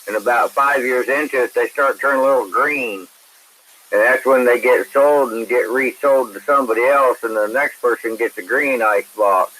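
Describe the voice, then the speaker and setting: An American man talks over a CB radio in a medium-pitched voice. His delivery is casual and chatty, with a joking style. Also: moderate pace, bright, forceful tone, a little hiss or hum, poor audio. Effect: CB radio transmission. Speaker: CB radio operator.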